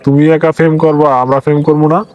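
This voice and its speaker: A man's voice: fairly high pitch, level tone, racing, a little mellow, lightly acted. A man with a Bangladeshi accent playing a lovestruck, dreamy young man in a comic cartoon.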